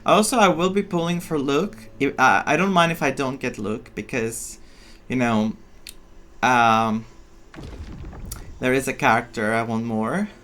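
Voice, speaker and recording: Slow-paced man doing casual game commentary. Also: medium pitch, fairly bright tone. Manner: playful, reacting aloud. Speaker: gaming streamer.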